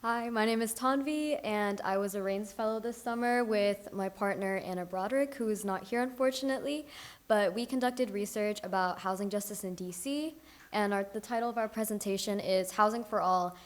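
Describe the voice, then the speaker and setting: A young woman student presenting her research, in a clear, steady, scripted delivery. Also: mid-range voice, a little fast. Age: young adult. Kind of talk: academic presentation.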